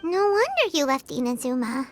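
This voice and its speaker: A woman voicing a tiny, childlike, excitable fairy companion in a video game, squeaky and exclamatory, eager to help. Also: normal speed, music in the background. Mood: earnest, supportive. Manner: excitable, exclamatory, refers to herself in third person. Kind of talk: video game character dialogue.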